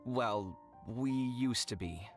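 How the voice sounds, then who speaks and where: A man's voice: medium pitch, slow pace, creaky, faint music behind. A man playing a proud, easily exasperated young man in a video game, theatrical and flustered. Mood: awkward, apologetic. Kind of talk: video game character acting.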